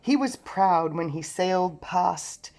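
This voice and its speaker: A woman narrating a children's storybook aloud in an expressive storytelling manner. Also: lowish voice, takes it slowly. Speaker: storybook reader.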